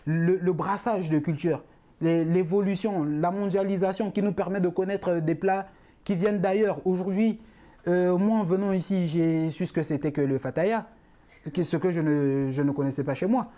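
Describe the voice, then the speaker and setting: Young man, from Congo, panel guest, mellow tone, explanatory, repetitive. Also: high-pitched, moderate pace. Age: young adult. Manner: explanatory, repeats points, frequent fillers. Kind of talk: panel discussion.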